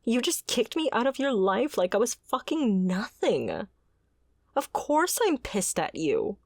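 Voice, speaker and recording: A woman's voice: fairly high pitch, big pitch swings, measured pace, a little mellow, no room sound, studio quality, heavily acted. A woman playing a fiery, bitter mercenary and wounded former bodyguard in a confrontational, sardonic audio roleplay. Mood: hurt, furious. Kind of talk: dramatic ASMR roleplay acting.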